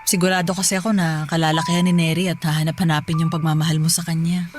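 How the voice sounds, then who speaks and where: An actress playing a loving, gentle older sister and earnest young mother in a radio drama. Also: low voice, little pitch movement, quick pace, over music, close to an everyday voice. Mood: pleading, tender.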